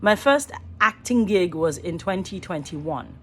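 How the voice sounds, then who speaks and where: A woman's voice: on the low side, lively intonation, measured pace, a little hiss or hum. A middle-aged Nigerian woman, an actor and voice artist, musing in a rambling, earnest selfie vlog from a small room, often stopping to rephrase herself. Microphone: phone at arm's length.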